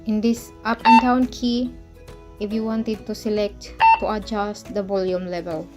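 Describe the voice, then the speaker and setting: A woman presenting a product demonstration for an online video in halting, non-native English phrasing. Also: mid-range voice, flat delivery. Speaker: product reviewer and parent presenting to camera. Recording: music playing behind the voice.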